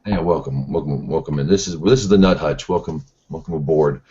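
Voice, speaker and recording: Very low, husky-voiced male host. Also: fairly quick, dark tone. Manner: rambling, unsure of himself, many restarts. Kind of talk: casual online group discussion. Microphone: video call. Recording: dull lo-fi online call audio.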